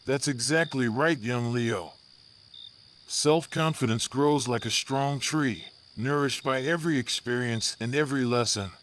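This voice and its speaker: Man as a gentle, wise elephant mentor. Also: medium pitch, slight background noise, lightly acted. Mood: reassuring. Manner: gentle, measured, reassuring. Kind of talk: children's storybook character voice.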